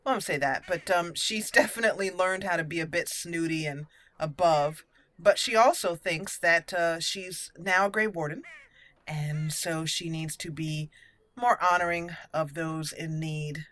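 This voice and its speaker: A woman's voice: lowish voice, a little slow, a bit bright. A woman hosting a let's play, chatting and thinking aloud as she roleplays through a game. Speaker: gaming channel host.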